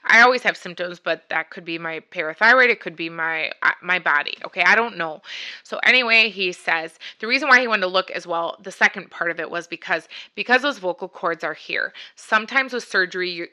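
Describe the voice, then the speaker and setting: A woman's voice: on the low side, sharp tone. A woman vlogger telling a personal story in a casual, chatty, rambling way, voicing both sides of conversations as she goes. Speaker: vlogger sharing a personal health journey.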